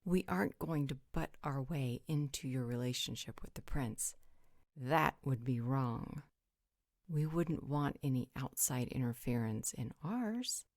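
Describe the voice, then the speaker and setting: A worldly, supernatural female guardian voiced by a woman for an audio roleplay. Her voice sits low and is recorded close and dry, and she talks with blunt, teasing frankness. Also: expressive, unhurried, a little mellow, no room sound, exaggerated voice. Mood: supportive, firm. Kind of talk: scripted roleplay audio drama.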